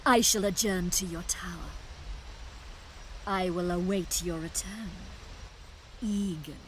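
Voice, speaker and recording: Slow, sultry fairy queen. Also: fairly low pitch, background noise. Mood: sultry, devoted. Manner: sultry, drawn-out, condescending. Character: seductive, haughty fairy queen turned devoted temptress. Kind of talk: video game character acting. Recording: loud music behind the voice.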